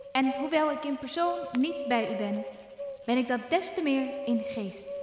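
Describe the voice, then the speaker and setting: Woman acting callous queen, high voice, haughty and theatrical, radio play, roomy recording, faint noise. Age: young adult. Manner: imperious, stately, theatrical. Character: haughty, bored, callous queen of the Netherlands in exile. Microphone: close studio condenser microphone.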